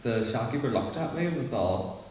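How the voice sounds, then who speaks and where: Derry man, animated storyteller, hall reverb, mic set back. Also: moderate pace. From Derry, Northern Ireland. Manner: animated storyteller, mimics others with high voices. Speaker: panelist. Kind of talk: casual group discussion. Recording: echoey hall, distant mic, faint hiss.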